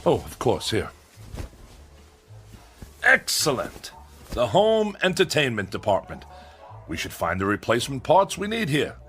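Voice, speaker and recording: A man's voice: mid-range voice, huge pitch swings, unhurried, pauses often, fairly bright tone. An actor playing a fussy, eccentric tech-minded man in a radio drama, speaking in a formal, pedantic, theatrical way. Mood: brisk, evasive. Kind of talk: comedic radio drama acting.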